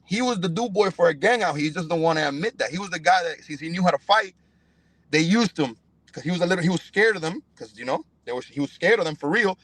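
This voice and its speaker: A young man speaks in a high voice with a dry, close sound. He is a fighter from Cuba giving an interview in casual, profane slang. His delivery is emphatic and sprinkled with familiar check-ins. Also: lively intonation, fast talker, a bit bright, no room sound, poor audio. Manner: emphatic, slangy, punctuated with "bro" and "you know what I'm saying". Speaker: professional fighter, podcast guest.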